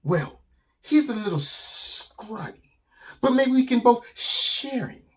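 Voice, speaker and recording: A man voicing a greedy, scheming forest snake in a children's story, bargaining in drawn-out words. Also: very high voice, expressive, slightly rough, voice pushed up, heavily acted.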